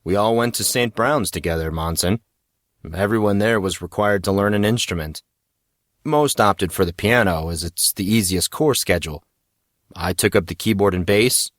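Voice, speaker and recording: A man's voice: fairly low pitch, normal speed, no room sound, studio quality, lightly acted. A man voicing a sulky, sardonic teenage schoolboy who grumbles bitterly in an audio story.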